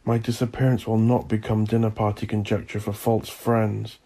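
A defiant man battles for his life in a dark audiobook, acted out by a male narrator. His gravelly voice is urgent and forceful, with theatrical, widely swinging pitch. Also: flat delivery, moderate pace. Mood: desperate, defiant. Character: desperate, defiant man fighting to survive drowning. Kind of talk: dramatic audiobook character acting.

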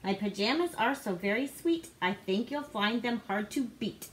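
High-voiced woman with swooping storybook delivery. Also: lively intonation, moderate pace, rough recording. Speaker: guest co-reader. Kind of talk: expressive storybook read-aloud for young children. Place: living room indoors. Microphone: device camera a short distance away.

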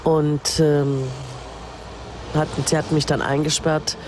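An older woman recalling her own past in a halting, spontaneous way. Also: sits low, flat delivery, noisy background. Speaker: interviewee, former journalist. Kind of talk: spoken personal recollection. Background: music behind the voice.

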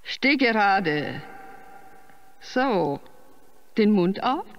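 Casting: pushy, seductive woman choir teacher, radio play, slow delivery, wide swoops, slightly dull sound. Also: mid-range voice, very animated, big-room sound. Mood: commanding. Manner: commanding, suggestive, slow and drawn-out. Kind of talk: radio drama acting.

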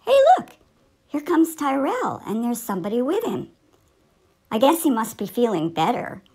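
A woman's voice: a little high, very animated, normal speed, a little mellow, a little hiss or hum. A woman voicing a keen, studious fifth-grade schoolgirl in a scripted children's puppet show. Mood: excited.

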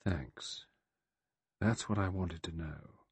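Man playing a cool sardonic adventurer, husky. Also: mid-range voice, big pitch swings, a little slow, darkish tone, dry recording, pitched above the performer's own voice, exaggerated voice. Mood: soft. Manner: easy, mocking, thoughtful.

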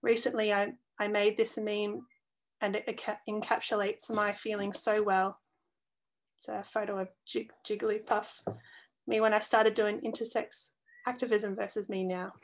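Young woman reading an essay, flat delivery. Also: medium pitch, moderate pace, rough recording. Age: young adult. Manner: earnest, steady read-aloud delivery with small stumbles. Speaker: reader of a youth publication. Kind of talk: reading a personal essay aloud.

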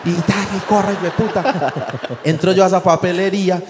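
A man's voice: high-pitched, steady tone, normal speed. A man with a Medellín accent doing live comedy, telling slangy, profane anecdotes and acting out the dialogue. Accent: Colombian, from Medellín. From Medellín, Colombia. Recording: roomy live venue, faint background noise.

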